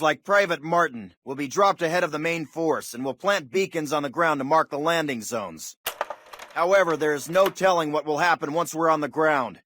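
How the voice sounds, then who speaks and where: An actor playing a confident American army officer, giving an authoritative, orderly briefing to paratroopers in a video game. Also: male voice, on the high side, a bit bright. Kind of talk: military mission briefing, scripted game acting.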